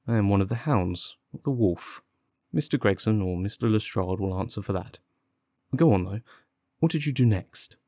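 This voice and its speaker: A man voicing a confident, impatient gentleman detective, articulate and sardonic, in an audiobook. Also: lowish voice, lively intonation, normal speed, no room sound, studio quality. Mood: amused. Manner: articulate, commanding, witty. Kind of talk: audiobook character dialogue.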